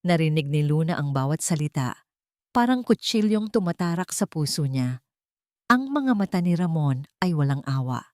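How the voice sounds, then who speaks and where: A woman tells a dramatic story in a solemn, emotive manner. Her voice sits a little low, and the recording is very dry, with hardly any room around it. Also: big pitch swings, normal speed, dark tone, dry recording. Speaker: narrator. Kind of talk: dramatic scripted story narration, audio drama.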